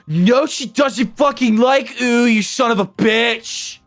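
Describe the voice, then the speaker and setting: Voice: medium pitch, takes it slowly, faint music behind, close to an everyday voice. A performer voicing hot-headed, foul-mouthed teenage boys, indignant and exaggerated in a comic fanfiction reading. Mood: furious.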